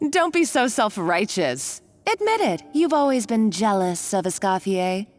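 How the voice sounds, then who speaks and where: A woman's voice: medium pitch, sing-song, unhurried. A woman playing a domineering, gloating female villain in a video game, speaking with taunting condescension. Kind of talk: anime-style video game acting.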